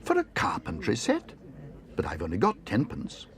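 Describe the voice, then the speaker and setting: A man voicing a polite, eager young bear who talks earnestly and breaks into high excited cries. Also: a little fast.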